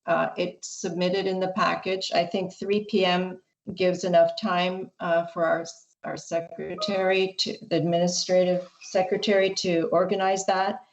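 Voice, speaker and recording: A woman's voice: on the low side, level tone, unhurried, highs cut off. A woman, a school board trustee, making her case in a hesitant way with many fillers over a video call. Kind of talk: formal board meeting debate.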